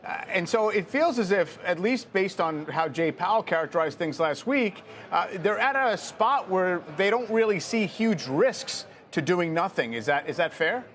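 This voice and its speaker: Man, TV news anchor, conversational questioner, slightly high voice, faint background hiss. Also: animated, normal speed, few pauses, fairly bright tone. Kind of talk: televised interview.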